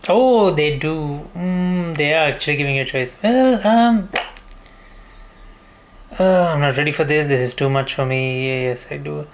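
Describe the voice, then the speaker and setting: A man's voice: a little high, a little slow, a little hiss or hum. A man giving casual, informal playthrough commentary as a gaming streamer, reactive and prone to swearing.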